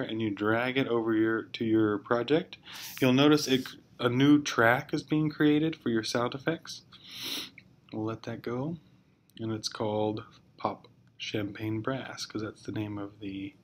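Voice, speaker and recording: A man giving an informal, step-by-step software tutorial in a hesitant, explanatory way. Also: on the low side, a little slow. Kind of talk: informal screencast walkthrough. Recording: clear background noise, amateur screencast.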